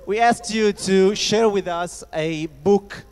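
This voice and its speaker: A man from Italy hosting a casual festival discussion, playful and quick to laugh, talking into a handheld mic with many fillers. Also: sits high, darkish tone, smooth. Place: festival hall with audience. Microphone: handheld microphone. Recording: reverberant hall.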